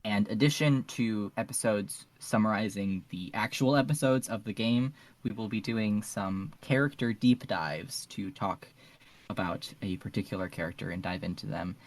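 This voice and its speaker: A man's voice: on the low side, normal speed, dry recording. A man chatting casually as a guest on a podcast.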